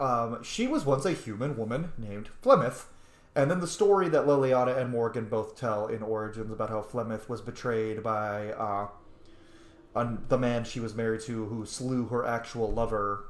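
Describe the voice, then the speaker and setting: A man's voice: medium pitch, echoey room. A man giving casual, sweary running commentary as he streams a roleplay video game. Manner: informal, sweary.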